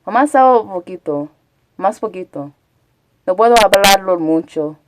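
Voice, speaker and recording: A woman's voice: medium pitch, animated, moderate pace, noisy background. A chatty woman from Texas, rambling casually as a live streamer and answering her chat. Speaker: Twitch streamer.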